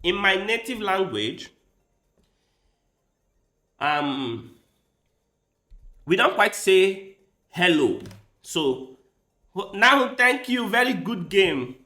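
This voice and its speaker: Male chess streamer, Igbo first language, bright lively delivery, unhurried, echoey room. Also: high-pitched. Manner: thinks aloud while playing, with quick bursts of excitement. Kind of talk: casual live-stream commentary.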